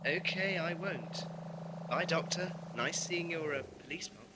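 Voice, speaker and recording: A man's voice: a little high, measured pace, heavy background noise, close to an everyday voice. A man with a British accent acting a wry, reluctant schoolboy in an amateur audio drama, hesitant and dryly sarcastic. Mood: doubtful. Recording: music under dialogue, home-made cassette drama.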